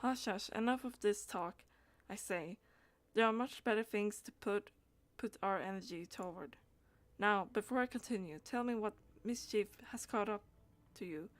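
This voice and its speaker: A woman's voice: mid-range voice, moderate pace, dry recording. A woman streaming a game, reading dialogue aloud and chatting as she plays, with stumbles and repeated words.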